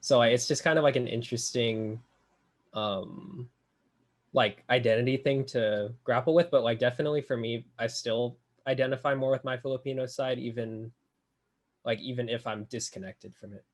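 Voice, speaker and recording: A man's voice: medium pitch, steady tone, normal speed. Rambling young college man. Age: young adult. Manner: rambling, heavy use of "like". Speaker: college student, club officer. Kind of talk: casual podcast chat.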